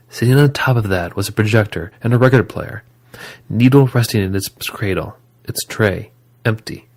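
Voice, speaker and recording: A man reading scripted story narration as the narrator of an audio drama. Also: on the low side.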